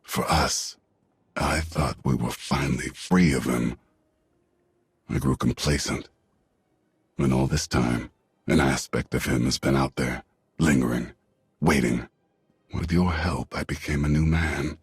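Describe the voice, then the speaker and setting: An actor voicing a solemn, self-doubting reformed warrior in a video game, with a halting, grave delivery. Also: male voice, steady tone, dry recording, studio quality, heavily acted. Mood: troubled, fearful. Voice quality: very deep, husky. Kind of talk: video game cutscene acting.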